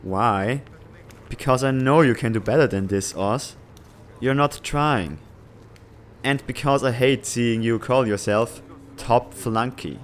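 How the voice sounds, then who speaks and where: A man playing an earnest, responsible, tech-savvy teenage student in a comic fan dub, speaking in a measured, slightly formal, exasperated way. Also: medium pitch, a little hiss or hum, close to an everyday voice. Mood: exasperated, urgent.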